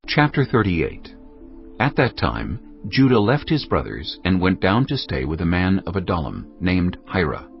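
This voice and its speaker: Deep, gravelly male Bible narrator over music. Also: big pitch swings, normal speed. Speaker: narrator of a dramatized audio Bible. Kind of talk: scripted scripture narration. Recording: dull lo-fi audio under music. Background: prominent background music.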